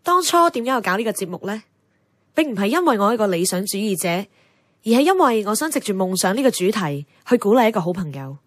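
An actress playing an idealistic, earnest radio host with a Hong Kong accent, delivering a reflective scripted monologue in an audio drama. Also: female voice, mid-range voice, very animated, fast. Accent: Hong Kong Cantonese.

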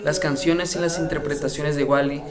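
A man reading a scripted report in a measured, even way. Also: a little high. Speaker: narrator of a report. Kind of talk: scripted narration. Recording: voice-over with loud music behind.

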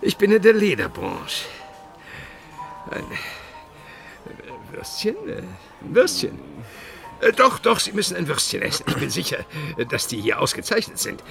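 A man's voice: high voice, huge pitch swings, unhurried, creaky, full character voice. An actor in a radio play voicing a nervous, chatty American businessman abroad, hesitant, fussy and stammering. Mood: overeager. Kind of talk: radio drama dialogue. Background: music.

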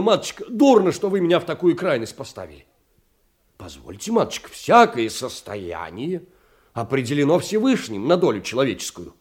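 An actor in an audio drama playing a meek, aging petty clerk, pleading in a fussy, old-fashioned, emotional way. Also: male voice, a little high. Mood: reproachful. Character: meek, wounded, aging petty government clerk, grey-haired. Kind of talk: theatrical audio drama, letter monologue.

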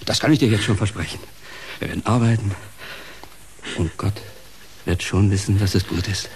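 An actor playing a volatile, self-pitying uncle in a radio drama, theatrical and erratic, swinging between pleading and ranting. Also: male voice, fairly low pitch, moderate pace, background noise, close to an everyday voice. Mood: tender, resolute. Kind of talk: German radio drama acting.

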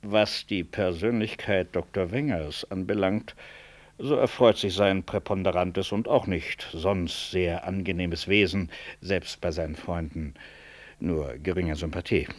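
An actor playing a stiffly polite, scheming hospital colleague in a 1960s German radio drama, hesitant and roundabout in phrasing. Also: male voice, deep voice, steady tone, unhurried. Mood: pressing.

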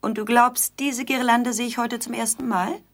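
An actress playing a needling, aggrieved bride in a radio drama, with a pointed, dryly reproachful way of talking. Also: female voice, a little high, fairly even intonation, normal speed. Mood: challenging, needling. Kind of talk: theatrical radio drama dialogue.

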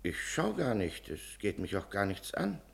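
An actor playing a meek, flustered office clerk in a 1950s radio drama, obsequious, formal and evasive. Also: male voice, lowish voice, very animated, measured pace, vocal fry, slightly rough, full character voice. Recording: noisy old 1950s radio recording.

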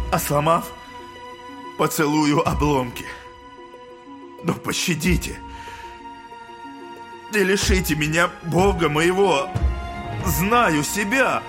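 An actor playing a passionate, anguished accused man who declaims solemnly and emotionally in an audio drama. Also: on the high side. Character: Dmitry Karamazov, passionate anguished accused man pleading innocence. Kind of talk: theatrical audio drama acting.

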